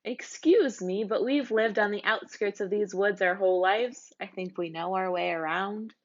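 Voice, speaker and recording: A woman's voice: medium pitch, moderate pace, lo-fi sound, close to an everyday voice. A woman voicing a bossy, outspoken but caring little girl in a storybook. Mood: indignant.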